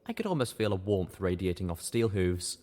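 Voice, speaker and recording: Low-voiced male audiobook narrator. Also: big pitch swings, a little fast, darkish tone. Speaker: audiobook reader. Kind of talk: scripted first-person story narration.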